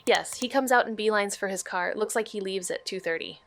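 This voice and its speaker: A woman's voice: mid-range voice, a little fast, background noise, close to an everyday voice. A woman playing a level-headed, sardonic young amateur detective in an audio drama, speaking casually and matter-of-factly. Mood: focused, dry. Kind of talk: scripted audio drama dialogue.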